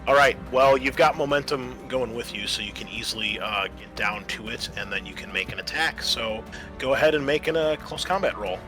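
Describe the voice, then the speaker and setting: A man's voice: medium pitch, fairly quick, music in the background. A man acting as game master, narrating a tabletop game and explaining things conversationally.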